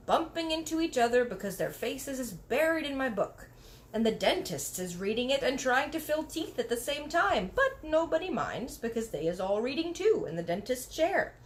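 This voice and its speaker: A woman voicing an excitable little boy who speaks in childlike broken grammar, in a storybook read-aloud. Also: a little high, slight background noise. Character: excitable little boy telling fanciful dreams.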